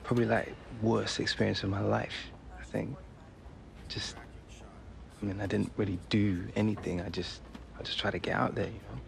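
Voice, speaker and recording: A man's voice: fairly low pitch, fairly even intonation, moderate pace, vocal fry, long pauses. A young man with a British accent playing a guarded professional footballer in a naturalistic video game cutscene. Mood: downcast, hesitant. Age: young adult.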